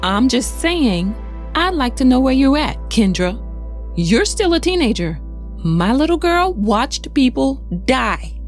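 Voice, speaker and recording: A woman's voice: high voice, big pitch swings, a little slow, faint music behind. A woman playing a wry, protective mother of a teenager in a naturalistic audio drama. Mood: concerned, firm.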